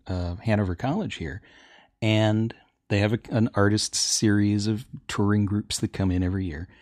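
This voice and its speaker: A middle-aged man chatting casually on a panel, telling things in an enthusiastic, halting way. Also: on the low side, darkish tone, no room sound, studio quality.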